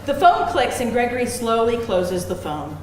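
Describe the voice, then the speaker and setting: A woman's voice: fairly high pitch, very animated, normal speed, darkish tone. A woman reading screenplay stage directions aloud as scripted narration at a live event. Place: live event room with audience. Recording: very echoey room, faint noise.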